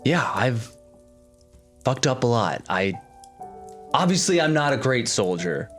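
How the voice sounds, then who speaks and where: A man's voice: mid-range voice, very animated, unhurried, a bit bright, faint music behind. A man playing a disgraced soldier, defiant yet remorseful, hesitant and earnest in an improvised tabletop roleplay.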